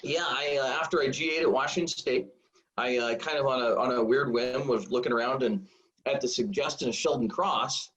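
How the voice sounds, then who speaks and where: A man in his forties, a high school football coach, telling stories in a rambling, self-deprecating way full of fillers. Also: fairly quick, a bit bright. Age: 40s. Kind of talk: casual storytelling interview. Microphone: video call. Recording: dull lo-fi video call audio.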